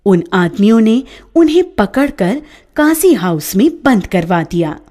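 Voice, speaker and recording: A woman narrating a children's story in a scripted storybook style, with an expressive, lilting delivery. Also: mid-range voice, a little slow.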